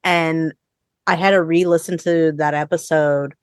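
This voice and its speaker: A woman hosting a podcast, chatting casually with co-hosts in an informal, expressive way with some swearing. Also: sits low, a little slow, clear, resonant tone, no room sound, clean studio sound. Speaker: podcast host. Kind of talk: casual review chat with co-hosts.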